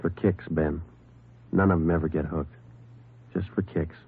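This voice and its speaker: A man playing a stern, laconic police detective and narrator in clipped, deadpan 1950s radio acting. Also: sits low, normal speed, phone-quality sound. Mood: dry. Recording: band-limited old radio broadcast, loud music.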